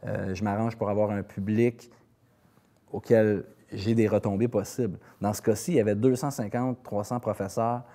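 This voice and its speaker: A man with a Quebec French accent chats in an interview in a dark, relaxed voice that sits a little low. He tells things in anecdotes, circling back on words as he looks for the right phrase. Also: moderate pace. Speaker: singer-songwriter being interviewed. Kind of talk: casual interview conversation.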